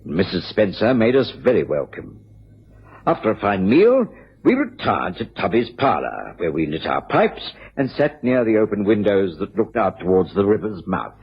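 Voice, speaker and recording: A man playing a genial, burly English doctor who narrates a radio detective drama in a genteel, measured manner. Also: fairly low pitch, very animated, slightly rough, full character voice. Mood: reflective storytelling. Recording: muffled radio recording with music.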